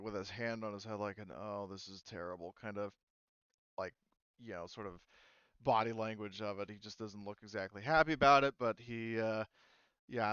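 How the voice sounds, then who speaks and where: A man's voice: on the low side, a bit bright, clean tone, dry recording. A man running a tabletop game as game master, narrating in a rambling way with lots of fillers. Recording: slightly dull, dry online audio.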